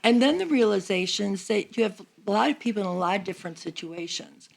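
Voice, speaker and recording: A woman's voice: medium pitch, animated, quick pace, creaky. An older American woman, in her sixties or beyond, chatting casually in a group conversation as a member of a seniors' group. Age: 60s or older.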